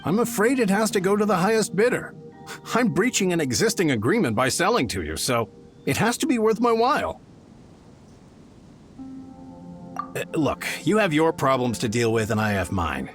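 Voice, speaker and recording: An actor playing a shifty, greedy merchant in a video game. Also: male voice, fairly high pitch, very animated, fairly quick, faint music behind. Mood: defensive. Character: shifty, greedy merchant man driving hard bargains. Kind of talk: video game character acting.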